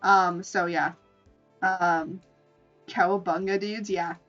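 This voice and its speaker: A woman's voice: on the low side, fairly even intonation, takes it slowly, a bit bright, distant mic, muffled recording, faint music behind. A woman chatting casually and informally on a livestream as she shows off her art, playful and free with swearing. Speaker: streamer, VTuber artist. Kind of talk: casual livestream chat showing drawings.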